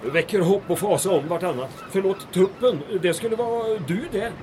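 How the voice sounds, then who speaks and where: A man's voice: high-pitched, a crowd in the background, noisy background, natural voice. A man playing an anxious, dryly sarcastic aide to a military commandant in a comic audio drama. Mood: wry. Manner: worrying, wry.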